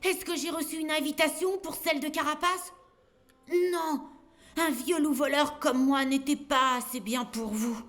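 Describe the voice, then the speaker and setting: An actor voicing a sulky, hurt wolf thief in an animated film, aggrieved and sarcastic. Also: high-pitched, normal speed, soft background music.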